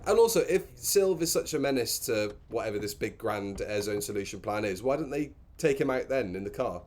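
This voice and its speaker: A man's voice: fairly low pitch, lively intonation, fairly quick, a little mellow. A man chatting casually as he co-hosts a film commentary, with a dry, mocking manner. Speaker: commentary co-host.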